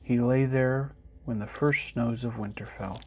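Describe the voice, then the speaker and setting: A man reading a children's picture book aloud in an expressive storytelling manner. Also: medium pitch, a little slow, a little hiss or hum. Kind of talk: children's story time narration.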